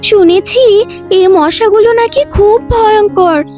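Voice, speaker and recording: Cartoon lead: sociable young animal girl, extremely high pitch, slow friendly chat, soft music.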